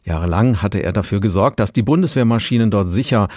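A man reporting in steady, matter-of-fact scripted narration. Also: fairly low pitch, quick pace, no room sound. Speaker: radio reporter. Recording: dry close studio sound.

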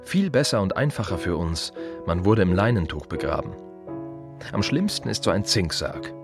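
An actor playing a plain-spoken, wry gravedigger in a radio play monologue, confiding in short halting phrases with dry irony. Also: male voice, deep voice, very animated, gravelly, music in the background, exaggerated voice. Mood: wry, reflective.

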